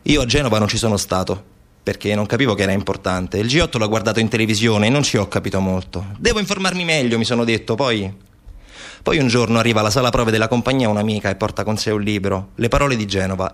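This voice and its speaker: A man performing a theatrical narrative monologue as a stage storyteller, deliberate and expressive. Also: lowish voice.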